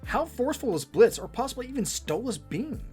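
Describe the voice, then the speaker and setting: A man's voice: very high voice, very animated, a little fast, a little mellow, soft background music. A man giving scripted back-and-forth commentary as co-host of a fan show.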